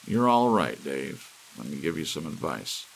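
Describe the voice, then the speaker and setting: A man's voice: rumbling low, big pitch swings, moderate pace, vocal fry, a little hiss or hum, pitched below the performer's own voice, heavily acted, rough recording. A man voicing an intense, urgent scientist who gives advice and orders in an audiobook story. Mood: warm advice. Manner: urgent, advising. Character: John Erickson, intense male scientist with thinning hair.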